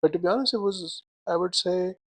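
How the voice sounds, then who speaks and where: A young man co-hosting a casual podcast chat, animated, laughing often and filling his talk with "like" and "right?". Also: high-pitched, a little fast, no room sound. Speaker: podcast co-host.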